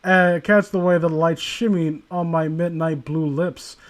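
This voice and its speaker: A man with a distinctly high-pitched voice reads a story aloud for laughs. He hosts the read-along and keeps breaking off into irreverent, mocking asides. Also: steady tone, a little slow. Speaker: host reading a creepypasta aloud with friends. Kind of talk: comedic read-along with improvised riffing.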